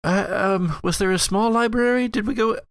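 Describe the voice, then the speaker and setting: A man acting as game master and podcast host, narrating casually and haltingly as he thinks aloud. His voice is mid-pitched with noticeable fry, and the recording is dry and close. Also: no room sound.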